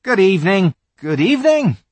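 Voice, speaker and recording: A reader voicing an excitable, polite male fawn in an audiobook, formal and flustered. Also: medium pitch, normal speed, no room sound, voice pushed up. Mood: flustered, delighted. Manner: flustered, formal, sing-song. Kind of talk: audiobook reading.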